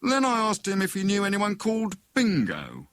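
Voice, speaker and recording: A man voicing a stiff, solemn police inspector with a British accent, politely formal and a little halting, in an audiobook. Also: high-pitched, huge pitch swings, pitched above the performer's own voice. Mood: businesslike. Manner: formal, hesitant fillers.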